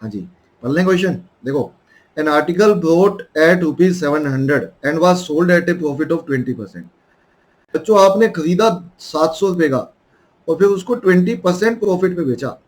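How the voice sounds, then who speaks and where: A man's voice: fairly high pitch, lively intonation, a little slow. A young man with an Indian accent and a sore throat, teaching a math lesson in a coaxing way and calling his students children.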